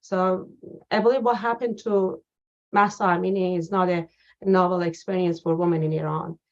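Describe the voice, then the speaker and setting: A woman guest in an interview, telling a personal account slowly with many pauses and fillers. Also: on the low side, fairly even intonation. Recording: dull lo-fi sound.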